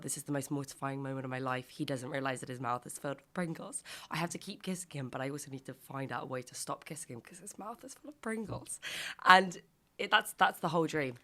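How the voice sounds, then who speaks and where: Croaky young woman, low and bright, podcast co-host telling anecdotes with lots of laughs. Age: 20s. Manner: chatty storyteller, laughs a lot, acts out quotes. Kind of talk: casual chat.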